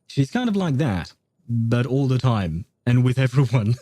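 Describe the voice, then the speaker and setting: A man's voice: medium pitch, very animated, moderate pace, dark tone, no echo. A man hosting a livestream, chatting casually in a rambling way and often losing his train of thought. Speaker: livestream host. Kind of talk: casual game stream chat.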